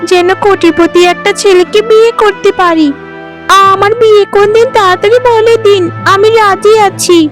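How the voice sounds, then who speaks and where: A woman voicing a vain, greedy, spiteful young bride in a cartoon, gloating and taunting with shrill exclamations. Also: steady tone, normal speed, faint music behind. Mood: greedy, delighted. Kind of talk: animated folk-tale dialogue.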